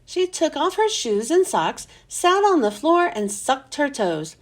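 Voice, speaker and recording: A woman's voice: sits high, big pitch swings, measured pace. A woman hosting a children's read-aloud, narrating a storybook expressively.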